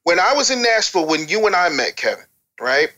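American man, interview guest, sharp bright tone, fairly high pitch, emphatic, candid, streetwise. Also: sing-song, brisk. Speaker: interview guest, former radio DJ and record maker. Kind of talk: candid conversational interview talk.

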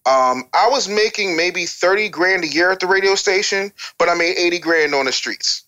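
American man, interview guest, sharp bright tone, fairly high pitch, emphatic, candid, streetwise. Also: moderate pace. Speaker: interview guest, former radio DJ and record maker. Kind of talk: candid conversational interview talk.

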